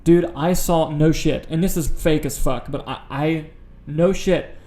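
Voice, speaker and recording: A man's voice: on the high side, a little slow, mellow. A man co-hosting a casual podcast, talking about film news in a blunt, profane, opinionated way. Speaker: podcast co-host.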